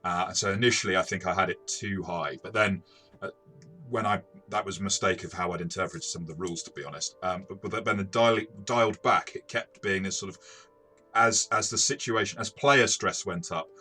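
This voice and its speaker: A man talking casually through a game review in a measured, explanatory way. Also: low-pitched, sharp tone, soft background music. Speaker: tabletop game reviewer and game master.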